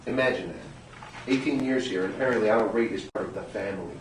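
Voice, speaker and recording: A man's voice: lowish voice, fast talker, natural voice. A man playing a dry, sullen janitor, far off. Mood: aggrieved. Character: aggrieved, sullen male hospital janitor. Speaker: actor at a comedy series table read. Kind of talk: sitcom table read. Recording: echoey crowded room, distant, noisy.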